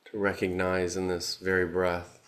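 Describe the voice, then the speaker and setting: A man leading a guided meditation, speaking calmly with long pauses. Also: low voice. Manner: slow, calm, with long pauses. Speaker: meditation teacher.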